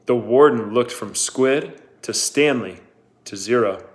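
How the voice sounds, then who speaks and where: A man reading a novel aloud in a steady, unhurried way. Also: medium pitch. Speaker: reader.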